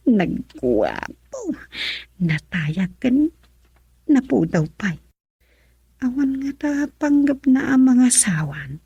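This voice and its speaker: An actress playing a gentle married domestic helper in a radio drama, with a Filipino accent. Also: female voice, fairly low pitch, husky, dry recording, dull audio, exaggerated voice. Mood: dreamy, hushed. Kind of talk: Ilocano radio drama acting.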